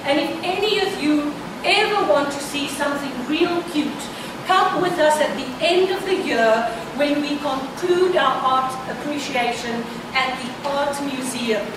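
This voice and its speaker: A woman's voice: sits high, unhurried, background noise. A woman, an Afrikaans speaker, giving an earnest, proud report as a childcare director at an annual meeting. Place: event venue. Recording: echoey hall, far from mic.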